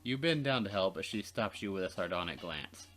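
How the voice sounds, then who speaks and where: A man's voice: low-pitched, animated, fairly quick, a bit bright. A man reading visual novel narration aloud for a let's play, with casual asides.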